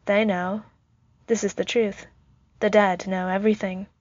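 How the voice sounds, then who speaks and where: A woman voicing a stoic, blunt male soldier in a story, speaking in terse, flat sentences. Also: medium pitch, measured pace, dry recording, dull audio, lightly acted.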